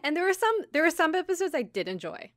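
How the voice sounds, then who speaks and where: A woman's voice: extremely high pitch, sing-song, fast talker, no echo. Dry-sounding woman casually recapping a story. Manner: informal storytelling with many likes and quoted asides. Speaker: podcast co-host recapping an anime episode. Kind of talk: casual chat, episode recap.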